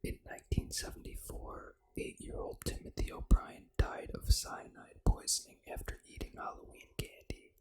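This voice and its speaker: An ASMR host reading aloud in a hushed, fully unvoiced whisper, with deliberate phrasing. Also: extremely high pitch, rough recording. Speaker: ASMR gaming channel host.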